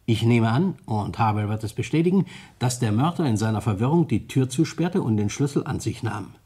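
An actor playing a shrewd, self-satisfied police detective in a radio crime play, speaking formally and deliberately. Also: male voice, mid-range voice, expressive, dark tone, husky. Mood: probing.

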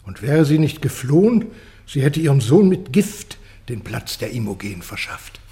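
An actor playing a grave court messenger who declaims terrible tidings dramatically and deliberately in a classical radio play. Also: male voice, mid-range voice, very animated, mellow, a little hiss or hum. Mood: grave, horrified.

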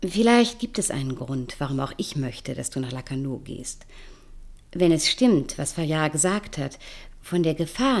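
A woman's voice: deep voice, darkish tone. A woman playing a cool, sardonic but secretly tender young Parisian in a German radio play, speaking in an ironic, articulate way.

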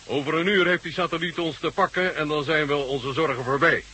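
Bright-voiced, wry space marshal. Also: male voice, a little high, fairly even intonation, a little fast, husky. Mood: wry, weary. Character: wry, world-weary space marshal, adult man. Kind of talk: science-fiction radio drama acting. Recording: slightly noisy old radio recording.

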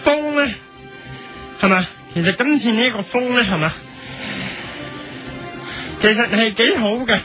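A man's voice: high-pitched, expressive, highs cut off, music in the background. A man playing a rambling, repetitive observatory chief in a parody news soundbite, with a Hong Kong Cantonese accent. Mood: bumbling. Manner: halting, repetitive. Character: rambling, bumbling male observatory chief giving a statement.

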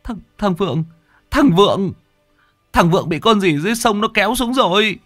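A terrified young villager, as a male storyteller puts him on, stammers and repeats himself in a high, rushed voice. He jumps far above the narrator's normal pitch. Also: expressive, a bit bright, no room sound, studio quality, soft background music.

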